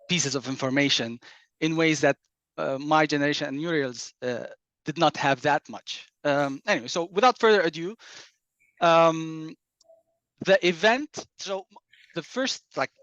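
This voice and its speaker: A man from Dubai, a panel speaker telling personal stories over a video call, pausing often with fillers. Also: fairly high pitch, a bit bright, no room sound, muffled recording. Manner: hesitant, many uh fillers. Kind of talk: personal storytelling talk.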